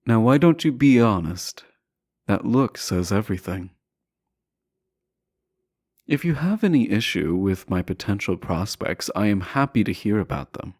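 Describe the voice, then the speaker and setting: A man playing a teasing, self-assured deposed prince in a romantic audio roleplay, speaking softly and deliberately with courtly, formal phrasing. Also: on the low side, sing-song, dry recording, clean studio sound, exaggerated voice. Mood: probing, defensive. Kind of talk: romantic audio roleplay acting, addressing the listener.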